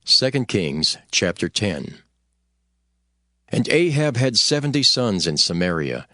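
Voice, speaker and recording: A man narrating a dramatized scripture reading in a solemn, measured storytelling manner. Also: fairly low pitch, big pitch swings, bright, forceful tone, no room sound, clean studio sound.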